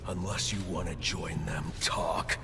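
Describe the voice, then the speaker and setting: An actor playing a grim, brooding masked vigilante in a video game, with a terse, commanding, gravelly delivery. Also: male voice, low voice, steady tone, a little slow, full character voice. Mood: menacing. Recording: loud music behind the voice.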